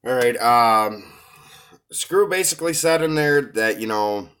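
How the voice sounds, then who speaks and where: A man hosting a reaction video, coming down with a cold and talking hesitantly with many pauses. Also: mid-range voice, lively intonation, a bit bright, clear, resonant tone. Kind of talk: casual commentary on a rap song.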